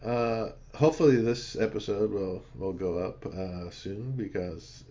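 Man, somewhat low voice, rambling casual co-host talk, restarts sentences, dull lo-fi audio. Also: measured pace, warm tone. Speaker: podcast co-host. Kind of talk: casual chat.

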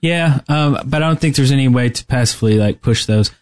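A man's voice: mid-range voice, fairly quick, a little mellow. A man co-hosting a gaming podcast in an informal, joking, conversational way. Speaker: podcast co-host. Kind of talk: casual gaming podcast chat.

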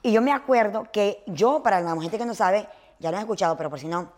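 A chatty woman in her thirties from Honduras hosts a relaxed conversation. She talks quickly, full of slang, and drops in bits of English. Her voice sits at a middle pitch. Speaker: podcast host. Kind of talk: casual chat.

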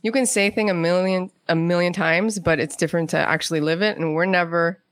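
Woman, lowish pitch, dry recording, interview guest, conversational storyteller, quotes people as she goes. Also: fairly even intonation, a little fast, no echo. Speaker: guest, playwright.

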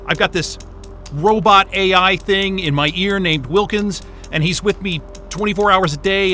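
A frazzled scientist trapped in a remote station. His voice sits high and has a sharp, bright edge. He swears and stammers his way along in naturalistic audio drama style. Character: panicked, foul-mouthed astrophysicist trapped in a remote station.

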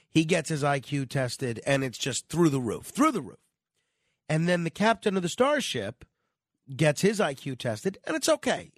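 A man's voice: fairly high pitch, no room sound, studio quality. A man hosting conversational talk radio, his voice nasal and stuffed up with a cold. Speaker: radio host.

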